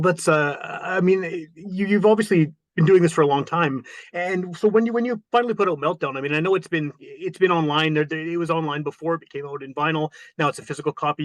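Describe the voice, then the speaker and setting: A man hosts a podcast interview, asking long, winding questions that stumble and restart. He talks quickly in a slightly raised voice. The recording is close and dry. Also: on the high side, no room sound. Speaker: podcast host.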